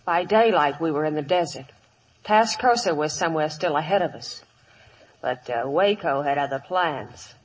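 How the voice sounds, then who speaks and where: An actress playing a tough, wry dance-hall singer, dry and wisecracking, in a 1950s Western radio drama. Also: female voice, deep voice, lively intonation, moderate pace, slightly rough. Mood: narrating. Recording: dull vintage 1950s radio recording.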